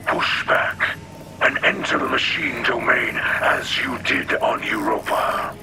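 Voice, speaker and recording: A man voicing a solemn alien sacred guide in a breathy, rasping growl, measured and formal, for a video game. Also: medium pitch, very noisy, heavily acted. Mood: grave, encouraging. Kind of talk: video game cutscene acting. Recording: loud music behind the voice. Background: loud game score.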